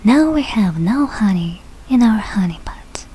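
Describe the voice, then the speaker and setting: A woman reading a rhyming picture book aloud slowly, with careful, deliberate phrasing. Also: mid-range voice, animated, dark tone, husky, poor audio. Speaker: English learner reading a children's book aloud.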